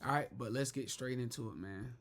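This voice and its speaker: Hyped, slangy reaction host. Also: male voice, medium pitch, a little fast, a bit bright. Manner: slangy, hyped, repeats "man" and "I ain't gonna lie". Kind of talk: casual reaction commentary.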